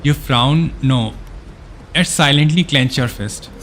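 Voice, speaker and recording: A man's voice: mid-range voice, takes it slowly, fairly bright tone. A man live-streaming a game, reading story text aloud and dropping in casual reactions. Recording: background game music. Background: game music.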